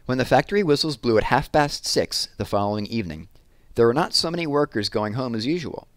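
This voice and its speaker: A man's voice: medium pitch, expressive, brisk, dry recording. A man narrating a children's story as a read-aloud. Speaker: storybook reader.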